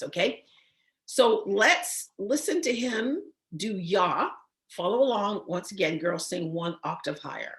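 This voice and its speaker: A woman coaches new singers over a computer microphone, her voice a little hoarse and froggy. She keeps checking that her students follow along, tossing in "okay?" and "right?" as she goes. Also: lowish voice. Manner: encouraging, checks in with frequent "okay?". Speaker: voice teacher. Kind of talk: online singing lesson.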